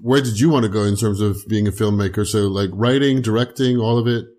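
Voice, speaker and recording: A man's voice: fairly low pitch, fast, a little mellow. Man hosting a podcast interview. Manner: affirming, says yeah often, stumbles and restarts. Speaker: podcast host.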